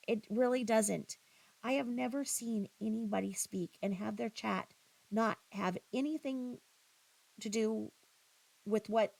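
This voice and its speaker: A woman giving critical, confrontational reaction commentary, scornful and halting, often repeating her phrases. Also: fairly high pitch, vocal fry, darkish tone, rough recording. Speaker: commentator reacting to another creator's livestream.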